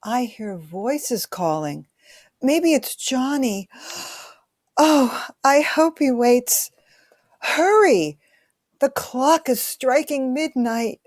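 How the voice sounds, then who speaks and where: A woman playing a dreamy young woman drawn toward a warm light, speaking slowly and wistfully in an amateur radio play. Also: sits high, animated, no echo, clean studio sound, full character voice.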